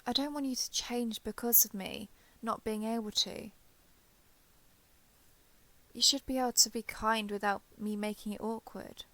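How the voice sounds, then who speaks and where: A woman playing a shy, guarded former villain turned ally in an intimate romance audio roleplay, halting, defensive and awkwardly sincere. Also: a little high, steady tone, pushed and bright. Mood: sincere, nervous.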